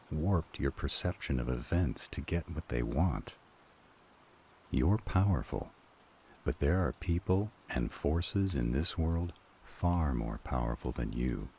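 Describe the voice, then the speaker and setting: A man performs an extremely deep-voiced demon elder for an audio roleplay. He is weary but firm, arguing in measured, grave phrases. Rain ambience runs faintly behind him. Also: vocal fry, a little mellow, a little hiss or hum, exaggerated voice. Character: powerful male demon elder, council member, weary and firm. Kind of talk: dramatic audio roleplay acting.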